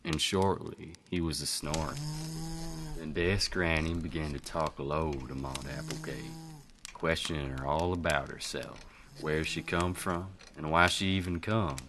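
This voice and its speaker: A man's voice: a bass voice, a little slow, creaky, background noise, full character voice. A man playing a homespun Old West storyteller with an American country accent, narrating a folktale in colloquial style for radio drama. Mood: hushed storytelling. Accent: American Southern or Western. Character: folksy Old West yarn-spinning storyteller.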